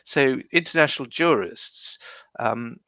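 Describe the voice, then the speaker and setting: A man's voice: mid-range voice, measured pace, bright, forceful tone, no room sound. A man, a lawyer and podcast guest, speaking on a video call from London in a reflective, hesitant way with many fillers. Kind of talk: reflective interview answers.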